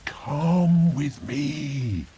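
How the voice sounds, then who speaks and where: A man voicing a servile, bitter island monster in a storybook, with slow, drawn-out words. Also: on the high side, expressive, mellow, husky, voice pushed up, full character voice. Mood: coaxing. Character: strange fishy island monster, servile, bitter, urging murder. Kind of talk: storybook character voice.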